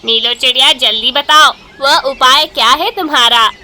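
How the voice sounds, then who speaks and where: A woman's voice: sits high, normal speed, bright, forceful tone. A woman voicing an anxious but loyal male crow in a children's cartoon, exclaiming and pleading. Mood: eager, wary.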